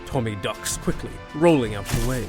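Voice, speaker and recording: A man's voice: mid-range voice, lively intonation, a little fast, over music. A man narrating a scripted fantasy audio drama as a storyteller.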